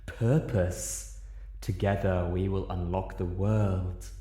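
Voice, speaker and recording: A man's voice: sits low, flat delivery, takes it slowly, mellow, roomy sound. A man voicing a sinister, cajoling evil spell book in a story. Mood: cajoling, tempting.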